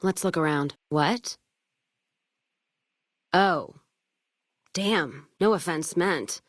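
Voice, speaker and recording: Tough wasteland caravan woman: low voice, blunt, wry, hard-drinking game character, close dry recording. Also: drawn-out words, dry recording. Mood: weary, matter-of-fact. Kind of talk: video game character dialogue.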